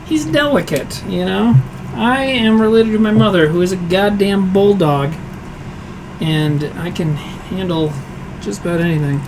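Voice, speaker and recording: A man with a hoarse voice talks casually through a home cooking livestream, joking at his own expense and answering viewers' questions as he goes. A steady air conditioner hum sits clearly under his voice in the kitchen. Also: sits high, measured pace. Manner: chatty, self-deprecating, answers chat aloud while cooking. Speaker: streaming cooking show host.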